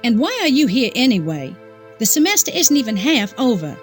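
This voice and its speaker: A woman playing a proud, commanding heiress in an audio drama, with a crisp, imperious manner. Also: a little high, big pitch swings, brisk, soft background music. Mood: exasperated, curt. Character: commanding, proud Southern oil heiress, exasperated elder sister.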